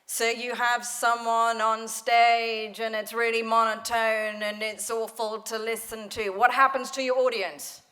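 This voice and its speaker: A woman speaking coach leading a conference panel, energetic and storytelling, laughing often. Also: a little high, measured pace, few pauses. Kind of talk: lively panel talk. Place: conference hall stage.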